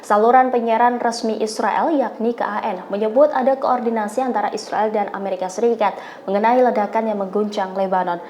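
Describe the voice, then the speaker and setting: A woman's voice: a little high, steady tone, a little fast, darkish tone. A woman reads scripted news narration as a voice-over.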